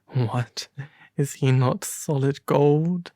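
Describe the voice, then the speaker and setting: A man puts on a small, vain, fussy swallow from a storybook. The delivery is theatrical yet soft and mellow, recorded dry and close. Words are drawn out slowly. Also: fairly high pitch, dry recording. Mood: curious. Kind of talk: soft storybook character acting.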